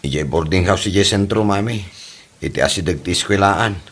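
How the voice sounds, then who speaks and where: A man's voice: low voice, moderate pace, fairly bright tone. A man acting as a flustered, henpecked married son in a comedy drama, stammering and pleading hesitantly to keep his mother happy. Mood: pleading, earnest.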